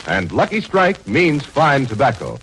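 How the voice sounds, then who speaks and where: A man's voice: mid-range voice, wildly swooping pitch, measured pace, a bit bright. An American man announcing a 1940s radio commercial in an emphatic, declarative manner. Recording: noisy 1940s radio recording.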